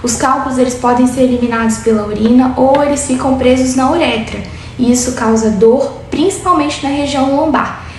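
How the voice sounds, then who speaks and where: A woman in her twenties with a Brazilian Portuguese accent, a doctor calmly explaining medical topics in an interview. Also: high-pitched, a little fast. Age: 20s. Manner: steady, clinical, explanatory. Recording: very echoey room with faint noise.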